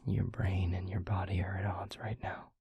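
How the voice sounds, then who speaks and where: A man playing a gentle, concerned vampire boyfriend in an intimate audio roleplay, speaking softly and haltingly with a breathy, husky voice. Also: deep voice, flat delivery, dry recording, muffled recording, full character voice. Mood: gentle, reassuring. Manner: soft, hesitant, halting, reassuring.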